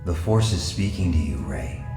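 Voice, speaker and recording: An actor playing a solemn old Jedi master spirit in an audio drama, speaking in a measured, portentous way. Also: male voice, extremely low pitch, expressive. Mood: solemn, challenging. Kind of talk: cinematic audio drama acting. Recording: long reverb under loud music.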